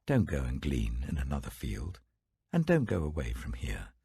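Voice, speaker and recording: A British man voicing a kindly, dignified older landowner in an audiobook scripture story, gentle, authoritative, protective and generous. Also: a bass voice, wildly swooping pitch, moderate pace, creaky, husky, dry recording, studio quality, voice pushed down, full character voice.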